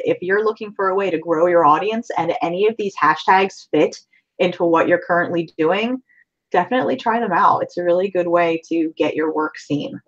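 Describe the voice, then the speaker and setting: A woman's voice: on the low side, lo-fi sound. A woman artist chatting casually on a livestream, talkative and easy-going. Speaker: artist, co-host.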